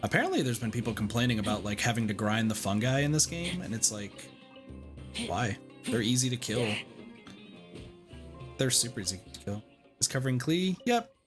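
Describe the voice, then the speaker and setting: A man's voice: mid-range voice, expressive, moderate pace, pauses often, faint music behind. A man giving casual livestream commentary on a game, muttering to himself and swearing mildly. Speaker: game streamer.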